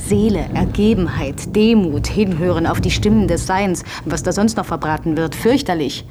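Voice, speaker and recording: Actress as brash young interviewer, lowish voice. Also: female voice, normal speed, background noise, natural voice. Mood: sardonic. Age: young adult. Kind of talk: German radio drama acting.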